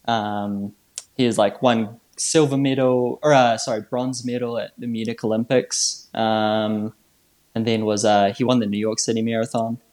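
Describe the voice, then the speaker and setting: A man in his twenties from New Zealand, chatting casually as a podcast guest in a laid-back, halting, slangy way. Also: lowish voice, level tone, darkish tone. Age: 20s. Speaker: podcast guest, trail runner. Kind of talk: casual chat.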